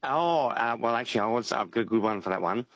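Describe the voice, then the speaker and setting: An Australian man in his fifties with a croaky voice, calling in to a radio quiz with wry, self-deprecating answers. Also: medium pitch, animated, fast, fairly bright tone, no echo, muffled recording. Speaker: caller.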